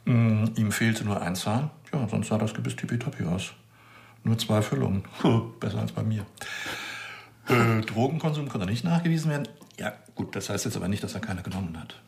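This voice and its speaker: Gravelly, dryly jocular forensic doctor. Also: male voice, lowish voice, expressive, normal speed, a little mellow. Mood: dryly casual. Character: chatty male forensic pathologist, casual, philosophical, dryly joking. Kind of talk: naturalistic radio crime drama acting.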